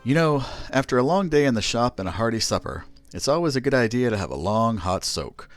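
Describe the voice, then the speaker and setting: A man's voice: mid-range voice, big pitch swings, fairly quick, soft background music. A man announcing an old-fashioned radio play in a theatrical manner.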